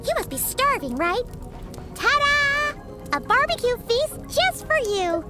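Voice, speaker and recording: Tiny childlike fairy, very high pitch, slow animated delivery, bubbly, hungry, anime game. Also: female voice, over loud music, heavily acted. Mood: cheerful, eager. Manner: exclaiming, childish, refers to self in third person.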